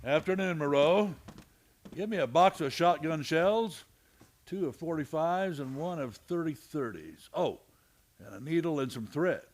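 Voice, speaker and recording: An actor playing a steady, commanding, fair-minded frontier marshal and narrator in a western radio play. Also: male voice, fairly high pitch, unhurried. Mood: easygoing. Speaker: lead character and narrator.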